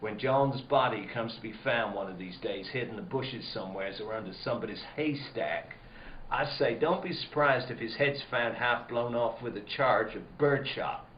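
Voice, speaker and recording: An actor playing a blunt, needling rural man in a spooky radio drama, folksy and halting in his talk. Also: medium pitch, natural voice. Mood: menacing. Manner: folksy dialect, halting, insinuating. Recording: roomy, muffled, noisy lo-fi recording.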